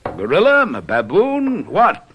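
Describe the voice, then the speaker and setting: An actor playing a confident, theatrical detective in a radio mystery drama, speaking in a deliberate, emphatic, urbane way. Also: male voice, sits high, huge pitch swings, fairly bright tone, husky, exaggerated voice. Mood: triumphant. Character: confident, theatrical male detective lecturing his companions.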